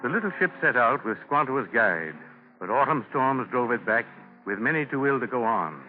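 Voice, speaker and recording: A man's voice: mid-range voice, animated, moderate pace, soft background music. A man narrating a 1940s radio drama from a script, formal and solemn. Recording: noisy, tinny 1940 radio broadcast.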